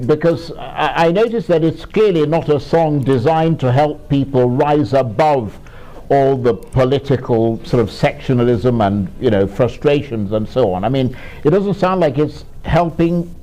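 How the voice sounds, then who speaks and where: A man asking interview questions in measured, formal phrasing. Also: medium pitch, warm tone, poor audio.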